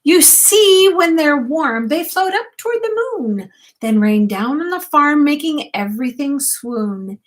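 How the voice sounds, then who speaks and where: A woman's voice: high voice, expressive, a little slow, pitched above the performer's own voice. An older woman voicing a giddy male turtle in a children's storybook, explaining things in rhyme. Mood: giddy, dreamy.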